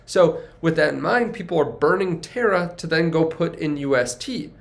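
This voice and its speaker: A man's voice: a little high, lively intonation, moderate pace, vocal fry. A young man with a congested, stuffy voice, talking casually to camera as a finance video host, rambling and correcting himself as he goes. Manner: rambling, self-correcting, upbeat. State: congested from a cold.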